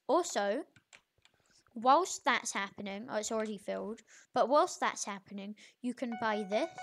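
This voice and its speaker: A young video creator with an informal, halting, self-correcting way of talking, giving a casual game tutorial. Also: mid-range voice, a little hiss or hum. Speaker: gaming video creator, host.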